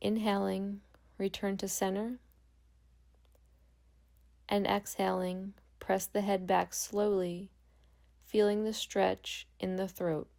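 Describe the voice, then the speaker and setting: A woman guiding a meditation as a yoga nidra instructor, speaking calmly and gently with long pauses. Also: medium pitch, vocal fry. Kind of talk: guided meditation.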